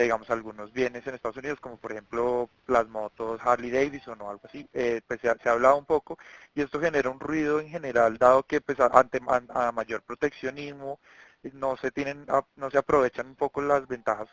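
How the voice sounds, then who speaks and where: Deep-voiced man, halting market briefing. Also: a bit bright, no echo, low-quality recording. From Colombia. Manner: halting, with frequent verbal tics and repeated words. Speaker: market analyst on an investor conference call. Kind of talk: semi-prepared market briefing.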